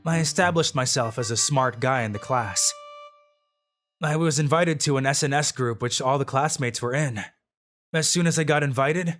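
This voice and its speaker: A voice actor playing a low-key, secretly brilliant male student who narrates a dubbed manga story matter-of-factly, over background music. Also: fairly bright tone, lightly acted. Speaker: narrator and lead of a manga dub.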